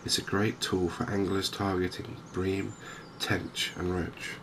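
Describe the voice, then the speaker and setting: A man softly narrating a game in ASMR style, hushed and hesitantly thinking aloud. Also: deep voice, little pitch movement, unhurried. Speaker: gaming video host. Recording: noticeable background ambience noise. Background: game ambience.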